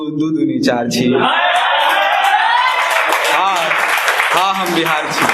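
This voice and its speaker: A man's voice: fairly high pitch, a bit bright, a little hiss or hum. A man from Bihar with a Bihari accent performing spoken-word poetry live, emphatic and repeating lines for effect, with chatty asides. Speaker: poet performing own poems.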